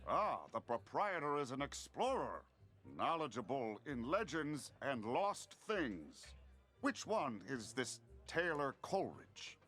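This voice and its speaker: Otherworldly game character, troubled, formal, self-questioning, slow delivery, music underneath. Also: male voice, medium pitch, big pitch swings, a bit bright, husky, heavily acted. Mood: intent. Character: otherworldly being of nothingness, formal, troubled, brooding. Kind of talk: video game voice acting.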